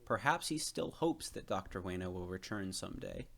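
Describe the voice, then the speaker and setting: A man voicing a gentle, thoughtful, stooped old gardener in a read-aloud storybook. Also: low-pitched, animated, gravelly, exaggerated voice. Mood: thoughtful, somber. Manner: slow, thoughtful delivery.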